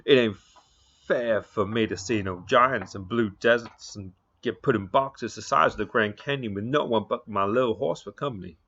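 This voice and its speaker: A man reading aloud and voicing a tiny, tearful, grumbling cowboy with a loud Texas drawl, in a dull lo-fi recording. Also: lowish voice, moderate pace, breathy edge. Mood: self-pitying. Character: tiny grumpy male cowboy, tearful and self-pitying.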